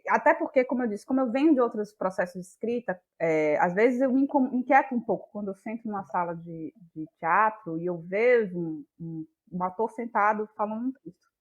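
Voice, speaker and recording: A woman's voice: mid-range voice, expressive, a little fast, muffled recording. Reflective Bahian woman, searching and emphatic. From Bahia, Brazil. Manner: searching, repeats phrases for emphasis. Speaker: interview guest, playwright and actress. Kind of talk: conversational, reflective explanation.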